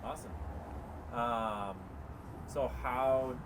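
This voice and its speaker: A man's voice: mid-range voice, very slow. A man asking questions casually as an interviewer, in a conversational way. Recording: noticeable steady background noise.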